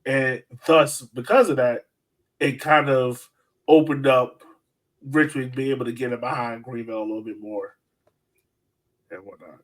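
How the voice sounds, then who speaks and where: A man with a medium-pitched voice breaks down a soccer match as a podcast co-host. His delivery is casual and conversational, with emphatic points. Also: a bit bright.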